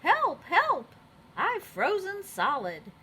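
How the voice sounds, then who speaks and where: Playful elephant leader for a picture book, played by a woman, lively and bright, encouraging. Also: very high voice, slow pace, a little hiss or hum, pitched above the performer's own voice, full character voice. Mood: mock alarm. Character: cheerful, playful patchwork elephant leading his friends. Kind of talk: children's storybook read-aloud.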